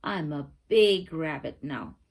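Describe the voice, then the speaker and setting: A woman's voice: sits low, takes it slowly, slightly rough, pitched below the performer's own voice, heavily acted. A woman voicing a young male rabbit in a storybook, emphatic and keen to sound grown up. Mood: proud, insistent.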